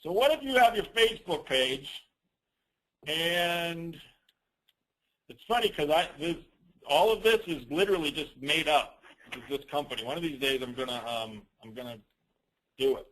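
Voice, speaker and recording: A man presenting a business training call as an informal, rambling software demo, with teasing, self-deprecating asides. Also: fairly high pitch, normal speed, pauses often, poor audio.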